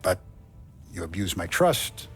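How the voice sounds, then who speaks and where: Commanding, expressive American mafia boss. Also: male voice, sits low, faint music behind. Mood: stern, paternal. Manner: measured, lecturing, authoritative. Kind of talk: cinematic video game acting.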